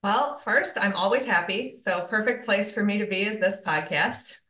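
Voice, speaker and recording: A woman from Michigan, a registered dietitian, explaining cheerfully and clearly as a guest in an interview. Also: medium pitch, moderate pace, sharp tone, roomy sound. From Michigan, USA. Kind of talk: casual interview chat.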